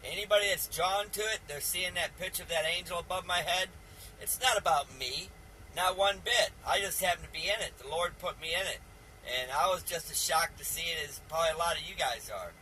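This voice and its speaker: Bright-voiced man in his fifties, folksy and insistent. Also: a little high, brisk, a little hiss or hum, poor audio. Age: 50s. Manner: earnest, insistent, folksy, repeatedly addresses listeners as man. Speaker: video channel host. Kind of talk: rambling religious vlog monologue.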